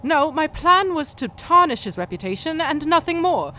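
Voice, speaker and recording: An actress playing a cunning, defiant female vigilante in a pulp radio drama, with formal, deliberate, menacing phrasing. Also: high-pitched, very animated, sharp tone, a little hiss or hum, heavily acted. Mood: defiant, threatening.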